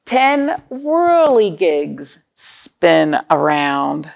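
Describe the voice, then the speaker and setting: A woman with a creaky voice hosting storytime for young children, reading aloud and chatting to them in simple explanations and questions. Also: low-pitched, sing-song, drawn-out words, slight background noise. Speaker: children's storytime host at a library.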